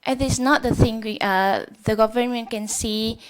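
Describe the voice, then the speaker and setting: A woman's voice: a little high, fairly even intonation, normal speed. A Vietnamese woman with a Vietnamese accent presenting at a workshop, hesitant as she searches for English words. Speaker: workshop speaker. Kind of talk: public presentation.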